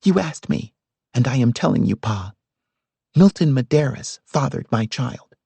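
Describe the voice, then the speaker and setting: A man's voice: medium pitch, animated, measured pace, creaky, dark tone, breathy edge, dry recording, pitched above the performer's own voice, full character voice. A man voicing a distraught young woman. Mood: heated, pleading. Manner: heated, pleading. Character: distraught pregnant young woman pleading with family.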